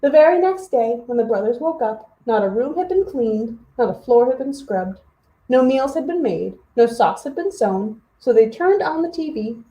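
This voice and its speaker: A woman hosting a children's story hour, reading expressively with animated, chatty asides to young listeners. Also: on the high side, moderate pace, roomy sound, muffled recording.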